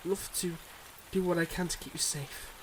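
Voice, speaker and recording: A man playing a devoted but guarded mob boss boyfriend in an intimate ASMR roleplay, speaking in earnest, measured confessions. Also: fairly high pitch, steady tone, a little hiss or hum, lightly acted, rough recording. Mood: bittersweet, devoted.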